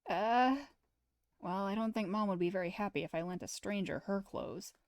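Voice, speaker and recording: A woman's voice: medium pitch, moderate pace, dry recording, clean studio sound, natural voice. A woman voicing a shy, awkward boy who is hesitant and earnest, in a visual novel. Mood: flustered. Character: awkward high school boy, shy anime fan, apologetic. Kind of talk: visual novel dialogue read aloud.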